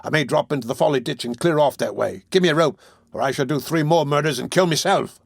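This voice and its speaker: A man voicing a brutal, desperate murderer in a storybook reading, speaking roughly and urgently. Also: a little high, quick pace, no echo, studio quality, voice pushed up. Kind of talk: audiobook character voice.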